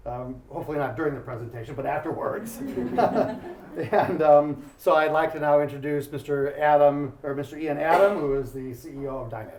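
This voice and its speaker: A man's voice: fairly high pitch, fairly quick, a little mellow, a little hiss or hum. A man from Ohio, the embassy's commercial counselor, giving an informal welcome speech with plenty of "um" and small jokes. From Ohio, US Midwest. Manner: informal, many fillers, self-deprecating jokes. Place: embassy event room. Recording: echoey room.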